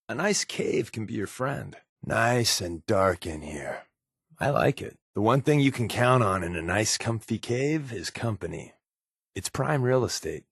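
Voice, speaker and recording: An actor playing a wry, secretive spy and loyal wisecracking companion in a video game, talking in casual, conversational asides. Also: male voice, mid-range voice, a bit bright, breathy edge, dry recording, studio quality. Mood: easygoing, casual.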